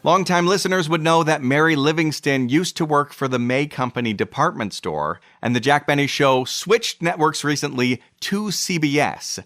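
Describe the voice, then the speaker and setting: A man's voice: on the high side, expressive, moderate pace, few pauses, fairly bright tone, no room sound. A man hosting a podcast with scripted, explanatory narration. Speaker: podcast host.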